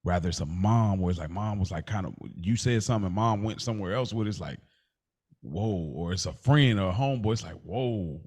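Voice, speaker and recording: A man with a lowish voice speaks as a podcast co-host in a casual, slangy style. He takes a roundabout path through his points, thinking aloud as he goes. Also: fairly quick, darkish tone.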